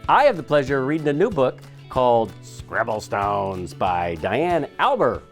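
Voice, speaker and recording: A man's voice: medium pitch, very animated, unhurried, faint music behind. A man reading a children's picture book aloud expressively, with dramatic shifts in his storytelling. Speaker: school superintendent reading aloud.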